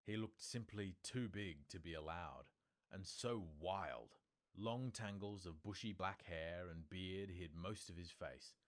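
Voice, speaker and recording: A man's voice: low-pitched, a little slow. A man reading scripted storybook narration as an audiobook narrator.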